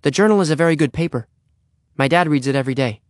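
An earnest young schoolboy from a storybook, as a male narrator plays him, eager to sound businesslike. His voice sits higher, with a lively rise and fall. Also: moderate pace, dry recording, studio quality. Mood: flustered. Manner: hesitant, flustered salesmanship.

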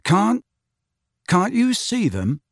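An earnest teenage boy played by a man in an audiobook. He speaks haltingly but insistently, with lively pitch and a ready streak of impatience. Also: gravelly, no echo, studio quality, exaggerated voice. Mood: bewildered, insistent.

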